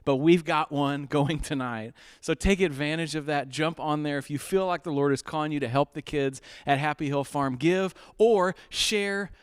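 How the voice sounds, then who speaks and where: A man's voice: on the high side, normal speed, few pauses, clean tone, no echo, studio quality. A man hosting a charity telethon, making earnest, upbeat appeals for donations with frequent uhs and restarts. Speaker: fundraiser host.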